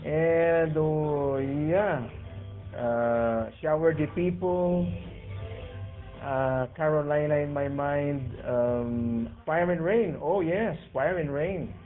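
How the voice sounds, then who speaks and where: A man's voice: fairly high pitch, drawn-out words. A man with a Filipino accent chatting casually over two-way radio, hesitant and searching for his words. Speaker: amateur radio operator in an on-air conversation. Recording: phone-like radio audio, faint music behind.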